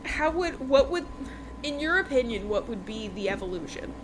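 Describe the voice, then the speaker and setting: A woman's voice: a little high, sing-song, moderate pace, crowd noise, low-quality recording. A woman host recapping a book in a casual, rambling, informal chat. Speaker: podcast host reviewing romance books. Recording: noticeable background noise.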